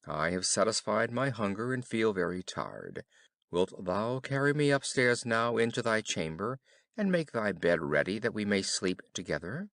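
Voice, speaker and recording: A storybook frog, acted out by a male narrator, pleading and insistent. He speaks in formal, archaic phrases with a lowish pitch and a close, dry sound. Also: very animated, moderate pace, creaky, fairly bright tone, no room sound, heavily acted. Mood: demanding.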